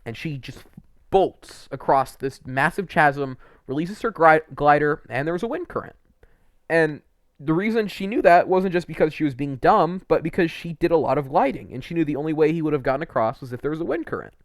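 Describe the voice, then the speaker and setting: A man's voice: a little high, animated, fairly quick, dry recording, clean studio sound. A young man giving casual, unscripted video game commentary in an informal, enthusiastic way, with some mild swearing.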